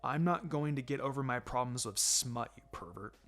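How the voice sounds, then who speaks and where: A man acts out a guarded, brooding boy ninja from an audiobook story. The voice is pitched low and held quiet, with a terse, reluctant, clenched delivery that sounds easily irritated. Music is clearly audible underneath. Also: lively intonation, moderate pace. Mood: disapproving. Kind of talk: fan fiction audiobook character acting.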